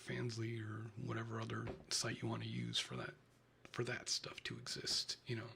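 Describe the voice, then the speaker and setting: A man with a Chicago Midwestern accent, whispering in a soft and chatty way during a live ASMR stream. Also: medium pitch, vocal fry, slight background noise.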